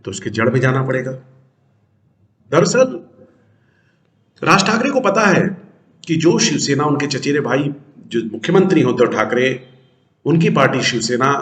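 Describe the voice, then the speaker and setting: An echoing, roomy recording carries a man's medium-pitched voice. He speaks as a news host giving political commentary. His delivery is emphatic, built on rhetorical questions that he answers himself.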